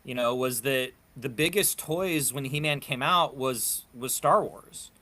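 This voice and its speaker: A man in casual, animated banter as a panelist, emphatic and informal in how he talks. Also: mid-range voice, measured pace. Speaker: panelist at a fan convention discussion.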